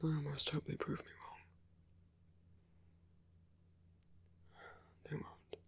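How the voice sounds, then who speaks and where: An actor playing a brooding, protective male villain in love with his enemy, in an intimate audio roleplay with a breathy voice that often drops toward a whisper. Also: on the high side, flat delivery, a little slow, a little mellow, slight background noise. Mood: quiet resolve. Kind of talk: ASMR roleplay audio drama, intimate monologue.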